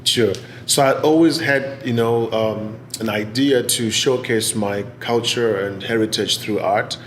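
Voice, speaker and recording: A man in his forties with a Zimbabwean accent, giving measured, articulate answers in an interview. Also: lowish voice, fairly even intonation, a bit bright, a little hiss or hum. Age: 40s. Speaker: interviewee, business executive and gallery owner. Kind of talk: oral history interview answers.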